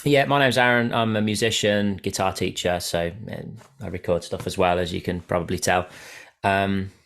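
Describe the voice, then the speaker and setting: A man's voice: low-pitched, fairly even intonation, normal speed, fairly bright tone. A man, a musician and teacher, introducing himself casually in a laid-back way. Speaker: musician, guitar teacher, guest.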